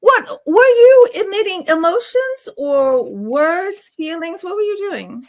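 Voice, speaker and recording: A woman's voice: very high voice, sing-song, slow. A woman hosting a casual online interview in an inquisitive, reflective way. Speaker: podcast host. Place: online video call.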